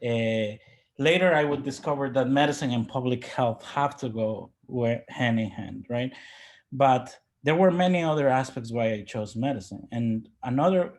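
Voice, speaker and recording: Hesitant, reflective man from Ecuador. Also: medium pitch. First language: Spanish. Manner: halting, thoughtful, with repeated word starts. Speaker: interview guest, physician. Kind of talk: personal reflection in an interview.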